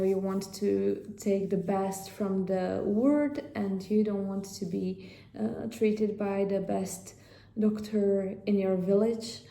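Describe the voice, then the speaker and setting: Czech woman doing a casual chatty vlog, mellow voice, kinda halting, roomy echo. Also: medium pitch, gravelly, slight background noise. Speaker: vlog host. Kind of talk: casual chat to viewers. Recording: echoey room.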